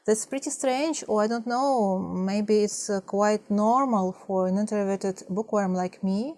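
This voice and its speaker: A woman in her thirties from Russia with a Russian accent, talking to camera in a soft, hesitant way with many fillers. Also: medium pitch, a little slow. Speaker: language-learning vlogger. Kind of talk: partly scripted talk to camera.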